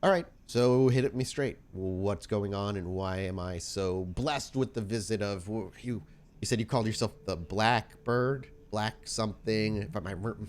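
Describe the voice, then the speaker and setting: A man voicing a wary, street-smart vampire woman in a tabletop game, speaking in a casual, blunt, halting way. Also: on the low side, close to an everyday voice. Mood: sardonic.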